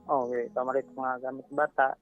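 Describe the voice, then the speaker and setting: A man with a Filipino accent, a native Cebuano speaker, chatting casually and haltingly as a caller, searching for words. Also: medium pitch, little pitch movement. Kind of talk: casual chat. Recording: dull lo-fi radio call audio. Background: faint background music.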